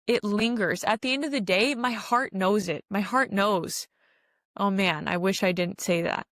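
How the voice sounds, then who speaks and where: A woman's voice: mid-range voice, a bit bright, no echo, clean studio sound. A woman giving an informal spiritual self-help monologue as a podcast host, speaking earnestly and repeating phrases for emphasis.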